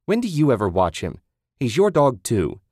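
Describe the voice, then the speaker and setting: Man doing a shouting, furious wife. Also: on the low side, expressive, normal speed, no echo, clean studio sound. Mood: defensive, angry. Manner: shouting, defensive, raised voice. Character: angry, exasperated wife in a marital fight.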